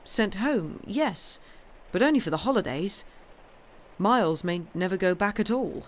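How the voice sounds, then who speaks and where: A woman's voice: medium pitch, animated, a little slow, natural voice. A woman voicing an anxious, educated young governess in a storybook reading, formal and precise. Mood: distressed. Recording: clearly noisy background.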